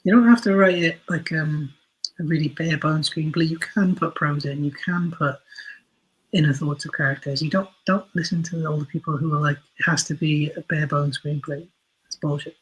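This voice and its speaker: Higher-pitched man, husky and even-toned. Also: normal speed, low-quality recording. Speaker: interview guest, writer for audio and screen. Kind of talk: conversational interview answers.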